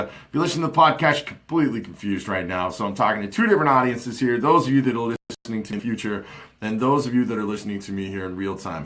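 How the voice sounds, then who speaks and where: Chatty low-voiced man in his forties. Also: fairly bright tone. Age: 40s. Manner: rambling, chatty, many fillers. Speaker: live stream host. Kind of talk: casual live-stream monologue. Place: small home office with red cabinets. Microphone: studio mic on desk with headphones.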